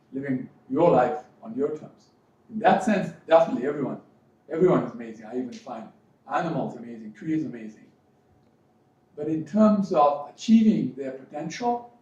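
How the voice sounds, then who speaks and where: A man from Fiji, educated in New Zealand, gives a motivational spiritual talk as a coach, speaking deliberately with emphatic repetition. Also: mid-range voice, darkish tone. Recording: very echoey room, distant mic, dull sound, faint noise.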